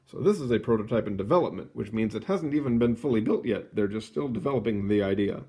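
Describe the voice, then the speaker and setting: A man reading a children's nonfiction book aloud, phrasing it clearly and adding short casual asides. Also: lowish voice, lively intonation, quick pace, dark tone. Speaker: read-aloud host.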